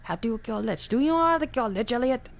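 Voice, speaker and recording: A woman's voice: fairly high pitch, lively intonation, rapid-fire, vocal fry, a little hiss or hum. A woman chatting casually as a player in an improvised tabletop game.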